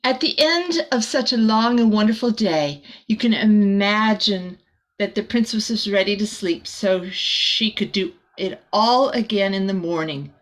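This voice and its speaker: A woman reading a picture book aloud to children like a teacher, expressively, with soft chatty asides. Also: mid-range voice, measured pace, poor audio.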